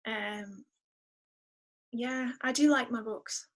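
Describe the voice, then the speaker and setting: A woman chatting casually as a guest in an interview, answering in an enthusiastic, expressive way. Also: a little high, takes it slowly. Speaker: podcast guest; musician.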